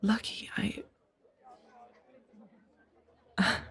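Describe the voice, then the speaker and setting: A woman playing a gentle, earnest Jedi in a soft-spoken ASMR roleplay, speaking in a hesitant, breathy murmur. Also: normal speed, natural voice. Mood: surprised, relieved. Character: gentle, earnest young female Jedi, tenderly conflicted.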